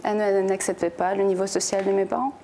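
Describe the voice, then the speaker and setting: A young woman speaks as a guest in a TV studio, recounting her own story in plain words. She pauses and hesitates often, and her voice is mellow and warm. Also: mid-range voice, steady tone, a little hiss or hum. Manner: plain, hesitant telling with many "euh". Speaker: talk show guest. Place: television studio.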